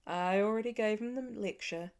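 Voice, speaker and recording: Woman voicing a gentle, weary dad. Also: mid-range voice, normal speed, lightly acted. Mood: dry, soft. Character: weary, gentle father of three, quietly protective. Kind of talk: audiobook character voice in fan fiction.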